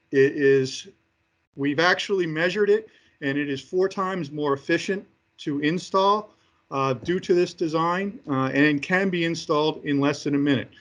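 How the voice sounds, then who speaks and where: A man giving interview answers as a company executive presenting products, speaking haltingly with frequent hesitations between phrases. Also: on the high side, a bit bright.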